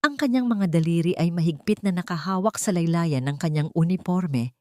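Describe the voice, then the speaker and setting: A woman's voice: on the low side, normal speed, a little mellow, dry recording, studio quality. A woman reading dramatic scripted narration as the storyteller of an audio drama.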